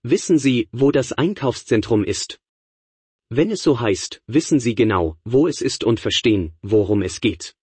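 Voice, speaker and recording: A man's voice: mid-range voice, expressive, normal speed, a little mellow, breathy edge, no echo. A middle-aged man lecturing to college students in a conversational way, firing rhetorical questions at the class. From Niagara Falls area, New York, USA. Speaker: professor.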